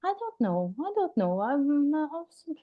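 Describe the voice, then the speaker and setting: A singer with an Italian accent, a woman with a fairly high voice, answers interview questions while searching for words and often ending sentences with "no?". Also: sing-song, moderate pace, narrow, tinny audio. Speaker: singer and guest.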